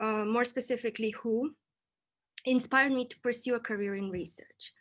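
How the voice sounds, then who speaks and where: A woman's voice: on the high side, a bit bright. A young woman in her twenties from Romania, giving a prepared storytelling talk as a PhD student in a light, playful way. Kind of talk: prepared talk read to an online audience.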